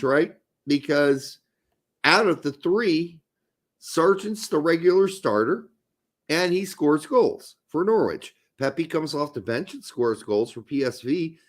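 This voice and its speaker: A man with a hoarse voice hosting a casual live soccer talk show, emphatic and swearing when fired up. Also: a little high. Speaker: podcast host.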